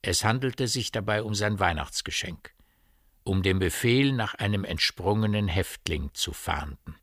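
A man narrating a radio play as a measured, literary storyteller. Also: lowish voice, animated, a bit bright, no echo, studio quality.

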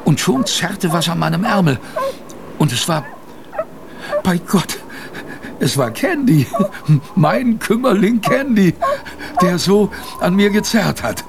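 A man acting in a radio drama as an earnest, plain-spoken storyteller recalling his youth on a polar expedition, conversational, with pauses and repetitions. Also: sits high, big pitch swings, gravelly, noisy background, exaggerated voice. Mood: moved, intense. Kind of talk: first-person radio drama narration.

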